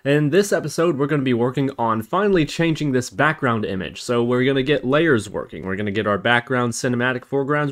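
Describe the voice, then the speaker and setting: Man narrating a tutorial with lively pitch. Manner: explanatory, step-by-step. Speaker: tutorial host. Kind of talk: software tutorial narration over screen recording.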